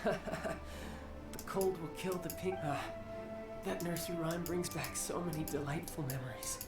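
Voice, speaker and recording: An actor playing a young male warrior and doting older brother, smooth, polite and teasing, in a video game. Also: fairly high pitch, unhurried, over loud music, natural voice. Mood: wistful. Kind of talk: video game character acting.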